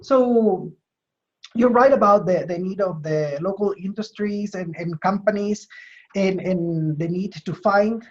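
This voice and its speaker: A man from Chile with a Chilean accent explains his work in an interview, in measured, hesitant phrases with repeated words. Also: sits high. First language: Spanish. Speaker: university administrator being interviewed. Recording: dull, lo-fi call audio.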